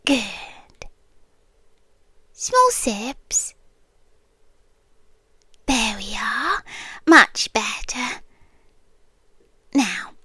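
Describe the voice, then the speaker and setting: A British woman playing an eerily calm, soothing doctor with a hidden possessive streak, in a soft, breathy, whispered ASMR roleplay, close to the mic. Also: sits high, no room sound, full character voice. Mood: calm, unsettling. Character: softly menacing, falsely soothing female hospital doctor, possessive girlfriend. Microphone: close, dry ASMR microphone.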